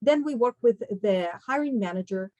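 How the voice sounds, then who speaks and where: A woman's voice: on the high side, expressive, normal speed, warm tone, no room sound. A woman from Kazakhstan giving a conversational career talk to students as a guest speaker, explaining things directly to her listeners. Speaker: guest speaker, recruiting executive.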